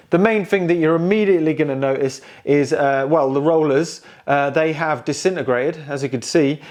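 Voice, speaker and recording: A man's voice: a little high, a little mellow. A man giving a casual, unscripted walkthrough of old equipment, thinking aloud hesitantly with playful, informal slang. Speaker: presenter showing and restoring old technology.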